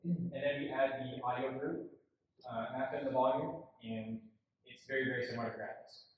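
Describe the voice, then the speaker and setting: A man's voice: medium pitch, steady tone, normal speed. Man presenting in a very echoey room. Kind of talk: informal technical presentation. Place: large conference room, indoors. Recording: very echoey room, distant mic, faint noise.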